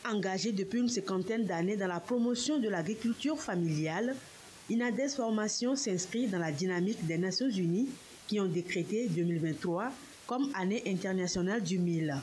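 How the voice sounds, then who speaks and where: A woman's voice: medium pitch, normal speed, darkish tone. Woman reporter over hiss. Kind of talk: scripted news narration.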